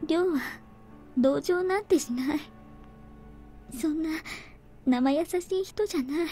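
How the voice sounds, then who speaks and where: A woman playing a frail, lonely young woman, quiet, hesitant and resigned, in a Japanese visual novel. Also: high-pitched, moderate pace, close to an everyday voice. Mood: doubtful, reserved. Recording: background music behind the voice.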